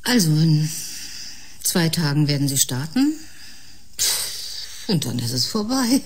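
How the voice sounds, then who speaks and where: A woman's voice: deep voice, big pitch swings, a little slow, darkish tone, slightly rough, heavily acted. An actress playing an anxious, humane woman doctor in a science-fiction radio drama, pleading and emotional. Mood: resigned, anxious.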